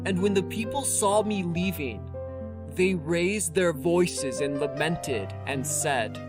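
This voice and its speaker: A narrator reading a scripture audiobook in a solemn, measured, formal manner. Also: medium pitch. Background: music.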